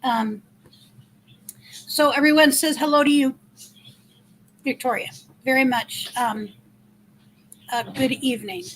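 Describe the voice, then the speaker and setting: A middle-aged woman with a big, loud voice, hosting a casual livestream in a chatty, rambling way and thanking viewers by name. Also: high-pitched, takes it slowly, long pauses, slight background noise, low-quality recording. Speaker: livestream host.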